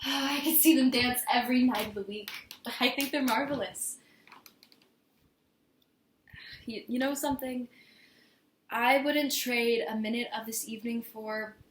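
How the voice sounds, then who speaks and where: A woman performing a stage monologue as an earnest, flustered young woman in love, speaking haltingly with stammers. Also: a little high, lightly acted. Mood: giddy, excited. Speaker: amateur actor performing a monologue. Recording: echoey room with faint noise.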